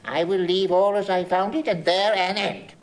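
An actor playing a tetchy, meddling uncle in classical Shakespearean comedy, fussy and peevish in delivery. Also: male voice, sits high, steady tone, moderate pace, lightly acted. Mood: huffy. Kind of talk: classical Shakespearean stage acting.

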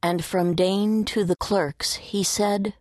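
Lady narrating an audiobook, unhurried, kinda low voice, very dry studio sound. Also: steady tone, a little mellow, dry recording. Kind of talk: scripted storytelling narration.

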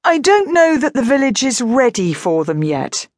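A woman reads as a middle-aged farmer dad in a children's story. He is gentle and hesitant, putting a positive face on his worries, with animated pitch. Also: normal speed, dry recording, clean studio sound. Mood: gently tactful. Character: kindly middle-aged farmer father, worried but trying to sound positive.

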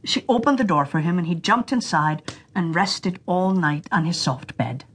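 A woman narrating a fairy tale for children in an expressive, deliberate storytelling manner. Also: fairly low pitch, a little hiss or hum.

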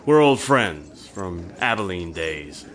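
A man's voice: low voice, very animated, slow pace, fairly bright tone, full character voice. A man playing a laconic, cool drifter in an Old West radio drama, with a dry, polite manner. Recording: very noisy outdoor scene.

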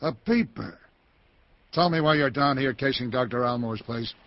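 A man's voice: medium pitch, normal speed, no echo, dull audio, lightly acted. Man acting a curt, tough American police lieutenant. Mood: menacing, suspicious. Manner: curt, menacing. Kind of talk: hardboiled radio drama dialogue.

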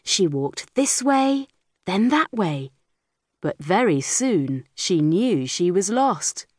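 Female audiobook narrator for children's stories: unhurried, highly expressive pitch, close dry studio sound. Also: dry recording. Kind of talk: scripted children's story narration.

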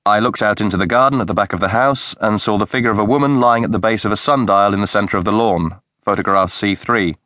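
Male narrator, lowish voice, measured read, close dry studio sound. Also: dry recording. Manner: measured, even storytelling. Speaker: audiobook narrator. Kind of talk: scripted audiobook narration. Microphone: close studio mic.

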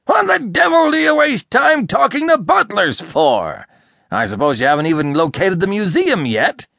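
A man's voice: mid-range voice, expressive, fast, fairly bright tone, no room sound, clean studio sound. A man reading a storybook, voicing an irascible, sarcastic, blustering older rich American man who hectors explosively. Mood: irritable, demanding. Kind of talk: audiobook character acting.

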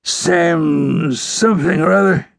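A man's voice: on the high side, vocal fry, no echo, studio quality, pitched above the performer's own voice, heavily acted. A man voicing a bitter, defiant, wounded Old West outlaw in a western audiobook, his speech strained, slurred and sneering. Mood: grudging, strained. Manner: drawn-out, sneering, contemptuous. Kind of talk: western audiobook character acting.

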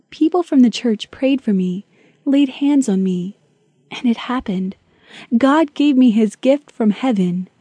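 Mellow, slightly husky woman narrator. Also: fairly high pitch, no echo. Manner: calm, measured reading. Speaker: audiobook narrator.

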